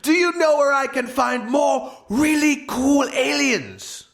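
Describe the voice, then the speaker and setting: Man doing a winged monster, cheerful, impulsive, childishly enthusiastic, high lively pitch, comic storytelling. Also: takes it slowly, gravelly, heavily acted. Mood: gleeful, naive.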